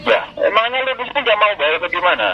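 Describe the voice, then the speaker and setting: A man's voice: high-pitched, expressive, very fast, a loud crowd. A man working as a debt collector, brusque and insulting, arguing heatedly over the phone. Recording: muffled phone line with background noise.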